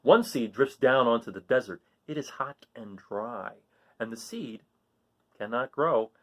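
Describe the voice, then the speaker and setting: A man reading a children's picture book aloud, with dramatic, drawn-out emphasis on key words. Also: mid-range voice. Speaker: storybook reader reading aloud.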